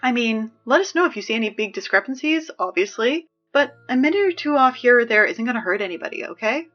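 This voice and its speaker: A woman playing a chipper high school student reading announcements in an audio drama, brisk and deadpan about absurd horrors. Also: highs cut off, soft background music. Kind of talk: scripted audio drama acting as public announcements.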